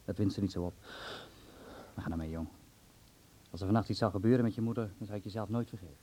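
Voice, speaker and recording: Low, husky, fatherly doctor. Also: fast talker. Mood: firm, persuading. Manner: admonishing, steady, fatherly. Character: paternal family doctor, concerned, firm, reasonable. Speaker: family doctor. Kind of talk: radio drama acting.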